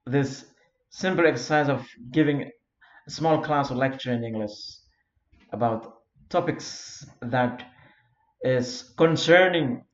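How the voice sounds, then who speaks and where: Halting Indonesian-accented man lecturing. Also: mid-range voice. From Flores, eastern Indonesia. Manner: halting, many fillers and repeated words. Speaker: founder of an English learning community. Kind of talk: informal self-made video lecture. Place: Europe. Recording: roomy, dull lo-fi recording.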